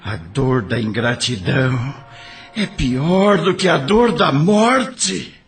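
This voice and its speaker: Um ator interpretando um homem magoado, crédulo e vingativo, de jeito dramático, em uma novela de áudio. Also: male voice, medium pitch, lively intonation, slow pace, faint music behind. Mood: bitter vengeful. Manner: dramatic, wounded, emotional. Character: anguished, gullible man turning bitter and vengeful. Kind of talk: audio drama acting.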